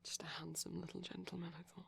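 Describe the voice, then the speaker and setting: A man speaking in a soft, breathy ASMR ramble, often slipping into a whisper, gentle, shy and hesitant, close to the microphone. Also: high-pitched, monotone, fast talker. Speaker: ASMR performer.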